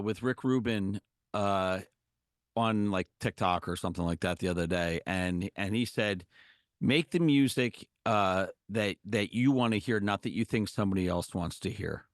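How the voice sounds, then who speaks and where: Middle-aged male musician guest, low and dry, loose rambling delivery. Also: a little slow, dry recording. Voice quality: low singing voice. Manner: rambling, lots of fillers and restarts. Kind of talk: casual interview chat.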